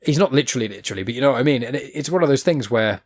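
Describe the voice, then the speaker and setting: A man's voice: mid-range voice, animated, racing, a little mellow. A man hosting a podcast, chatting casually in an opinionated, rambling way and swearing freely. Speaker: podcast host. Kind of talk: casual chat.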